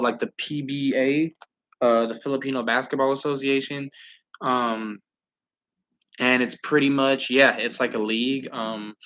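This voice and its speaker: A man at an ordinary middle pitch rambles his way through a casual live stream, padding his talk with fillers and the odd curse. Between tangents he turns to calling the plays himself. He is from Southern California. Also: fairly bright tone, rough recording. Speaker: livestream host and play-by-play commentator.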